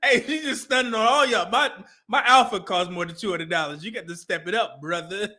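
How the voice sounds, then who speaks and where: High, bright-voiced man giggling through reactions. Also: fairly quick. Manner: animated, laughs often, repeats clip lines. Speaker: reaction streamer. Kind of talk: laughing reaction commentary.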